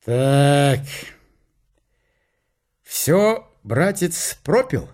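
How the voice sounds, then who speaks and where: An actor playing a sly, folksy old male court paymaster in a radio play, with a soft purring drawl. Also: on the high side, big pitch swings, drawn-out words, exaggerated voice. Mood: coaxing. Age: older.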